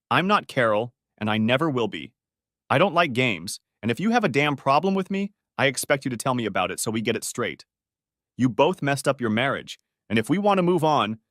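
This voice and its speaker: A man narrator plays a demanding, straight-talking woman in an audio story. The delivery is emphatic and very animated, rising and falling sharply. The sound is close and dry. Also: mid-range voice, no echo, close to an everyday voice. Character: blunt, demanding woman, the narrator's new partner.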